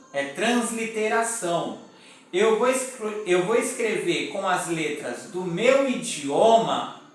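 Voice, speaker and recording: Brazilian male preacher, high voice, unhurried teaching delivery; far from the mic in a very echoey room. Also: a bit bright. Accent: Brazilian Portuguese. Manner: deliberate, spells out letters one by one. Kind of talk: sermon teaching. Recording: echoey room, mic at a distance, faint music.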